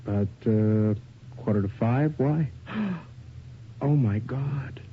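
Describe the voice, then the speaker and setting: An actor playing an anxious male photographer in a radio drama, husky and tense, speaking naturally. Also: medium pitch, slow pace, a little hiss or hum, lightly acted. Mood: shaken. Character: anxious male photographer, bossy toward his partner. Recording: dull, lo-fi old radio recording.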